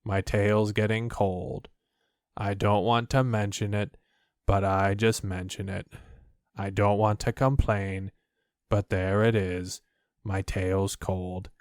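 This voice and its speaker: A man voicing a gloomy, self-pitying old donkey who grumbles slowly and low. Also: flat delivery, smooth, no echo, studio quality.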